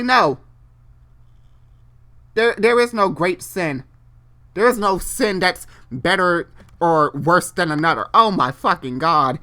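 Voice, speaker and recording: A mid-pitched host reads a story dramatically. The delivery stumbles over the text and keeps veering into ranting asides, exasperated and full of swearing. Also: sharp tone. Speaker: host doing a dramatic reading.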